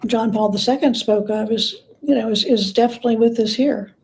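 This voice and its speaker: Higher-voiced woman with a mellow tone. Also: fairly even intonation, fairly quick, poor audio. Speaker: guest author, biographer. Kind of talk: conversational interview.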